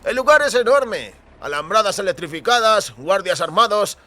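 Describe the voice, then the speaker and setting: An actor playing a chatty small-town taxi driver in an audio drama, wary but helpful, with an emphatic, confiding delivery. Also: male voice, high voice, animated, moderate pace, bright, forceful tone. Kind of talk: audio fiction dialogue in Spanish.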